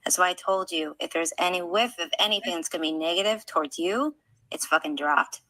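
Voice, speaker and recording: A woman's voice: lowish voice, fairly quick, bright, forceful tone, poor audio. A woman in a reality-show clip, earnestly reassuring someone and swearing for emphasis.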